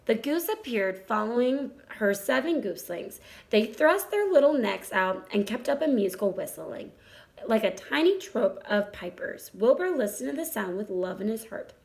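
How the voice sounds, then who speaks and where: A woman reading a children's story aloud as narrator, in an expressive storytelling style. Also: on the high side, moderate pace, gravelly, reverberant. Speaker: storybook reader.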